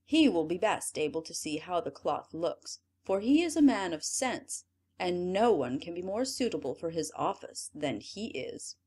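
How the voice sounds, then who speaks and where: A woman's voice: fairly low pitch, very animated, normal speed, a little mellow, slightly rough. A woman reading aloud, voicing a vain, self-important emperor in a storybook. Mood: musing. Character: vain proud male emperor.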